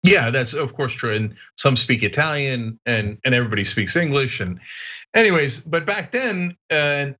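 A man raised in Turkey, hosting a casual podcast and telling stories in an animated way, laughing often. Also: medium pitch, normal speed, highs cut off. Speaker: podcast host. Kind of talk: casual chat.

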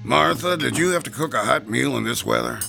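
An actor playing a gruff, heat-weary husband in a radio drama, grumbling and sighing. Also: male voice, mid-range voice, animated, brisk, music in the background.

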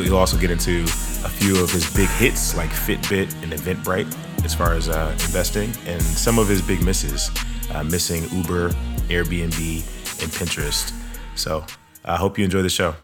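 A man hosting a podcast interview, chatting casually and filling pauses with um, uh and you know. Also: low voice. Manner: rambling, many fillers and restarts. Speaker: podcast host.

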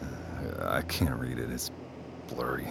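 An actor playing a groggy, sleep-deprived man in an audio drama, muttering haltingly in a gravelly voice. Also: extremely low pitch, steady tone, background noise, full character voice. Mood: dazed, weary. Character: exhausted, sleep-deprived, groggy man fighting to stay awake.

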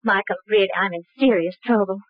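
A woman's voice: medium pitch, brisk, no room sound, lightly acted. Haughty young widow, female radio actor, guarded and clipped, 1940s crime serial, thin band-limited sound. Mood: urgent, tense. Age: young adult. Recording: dry, tinny old radio recording.

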